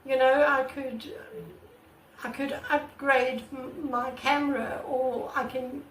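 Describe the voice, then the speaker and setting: Croaky woman vlogging in an echoey room. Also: high-pitched, level tone, slow, a bit bright, far from the mic, a little hiss or hum. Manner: earnest and repetitive, many restarts. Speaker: artist vlogger. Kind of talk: casual talk to camera. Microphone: camera mic, no proper microphone. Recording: echoey room, away from the mic.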